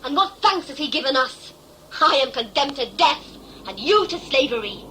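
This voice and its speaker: Woman playing high, bright, passionately defiant young woman. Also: sing-song, normal speed, slight background noise, heavily acted, low-quality recording. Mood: defiant, impassioned. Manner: intense, formal, dramatic. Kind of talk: sci-fi audio play acting.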